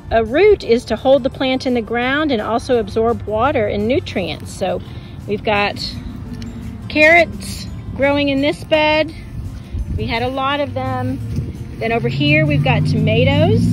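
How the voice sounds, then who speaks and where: Brief: a woman with a slightly high voice, friendly garden educator explaining plants to kids, outdoors on a phone, faint music behind. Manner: friendly explaining, pointing things out. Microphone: phone camera held by the speaker.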